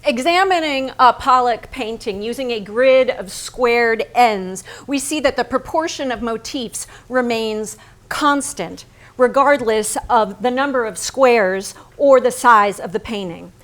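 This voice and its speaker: A woman acting a cerebral, unflinching painter's wife in a theatrical staged reading, speaking with precise, measured emphasis. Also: on the high side, fairly bright tone. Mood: analytical. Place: staged reading in a barn venue.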